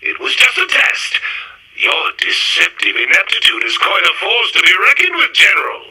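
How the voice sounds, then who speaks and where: An actor playing a pompous, exasperated mad-scientist supervillain in a retro radio serial, grandiose and wordy. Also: male voice, high voice, wildly swooping pitch, normal speed, sharp tone, breathy edge, exaggerated voice, rough recording. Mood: blustering. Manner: grandiose, theatrical, verbose.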